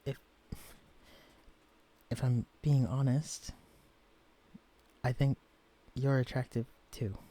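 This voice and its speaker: A man voicing a gentle, shy young man who has been shrunk to tiny size, speaking softly and stammering when flustered. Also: medium pitch, steady tone, takes it slowly, long pauses. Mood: bashful. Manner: soft-spoken, hesitant, stammering when flustered. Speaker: character in a listener-directed romance audio. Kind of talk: audio roleplay drama.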